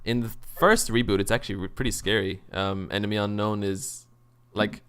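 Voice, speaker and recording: A man's voice: lowish voice, fairly even intonation, normal speed, fairly bright tone, clean tone. A man hosting a casual gaming podcast chat, talking enthusiastically and swearing freely. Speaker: podcast host.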